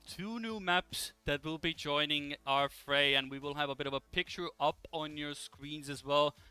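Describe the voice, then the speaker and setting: A man hosting an esports broadcast in a casual live style, with hesitant, halting phrasing. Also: fairly high pitch, sharp tone, clean tone, dry recording. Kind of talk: casual live presenting and commentary. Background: music in the background.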